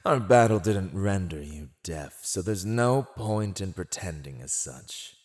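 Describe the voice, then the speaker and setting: A man voicing a mocking, silver-tongued fantasy villain in a scripted ASMR roleplay, gloating over a captive in a teasing, condescending, theatrical drawl. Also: low voice. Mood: menacing, taunting. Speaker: voice actor.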